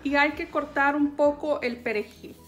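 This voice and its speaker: A woman hosting a home cooking show, calmly walking viewers through each step. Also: sits high, moderate pace, dull audio, music in the background. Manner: calm step-by-step instruction, addressing viewers.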